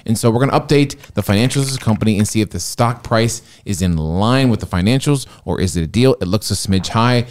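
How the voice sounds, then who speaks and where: A middle-aged man with a bassy voice co-hosting a casual finance chat, jokey and teasing. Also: lively intonation, fast, hardly any pauses, slight background noise. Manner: jokey, laughs often, teasing. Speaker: co-host.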